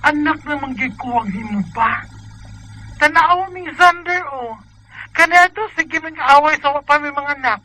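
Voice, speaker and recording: A woman's voice: sits high, normal speed, background noise, lightly acted. A woman with a Bisaya Filipino accent playing a blunt, nosy married friend in a radio drama, scolding and exclamatory. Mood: scolding, teasing.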